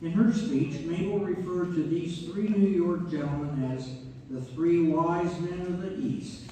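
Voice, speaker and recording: A man's voice: high voice, measured pace, dark tone. A man playing a genial narrator, presenting scripted local history as host of a theatrical read-through. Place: theater hall, live staged reading. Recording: echoey hall from far off, faint noise.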